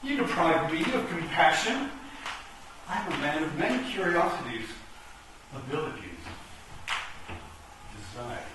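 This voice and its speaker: A man's voice: a little high, big pitch swings, unhurried, pauses often. Man playing a pompous chess king, very echoey. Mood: pompous seduction. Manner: pompous, florid, theatrical. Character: pompous, lecherous chess king, wheedling and vain. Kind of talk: stage radio-play acting. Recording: echoey hall, distant mic, noisy.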